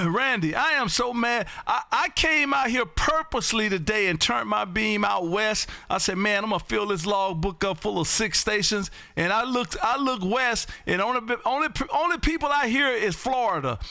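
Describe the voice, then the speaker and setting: A man from Texas running a ham radio live stream from his home radio room, talking loudly and excitedly in a folksy way. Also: high voice, normal speed, gravelly, few pauses, no echo. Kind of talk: ham radio contact chat.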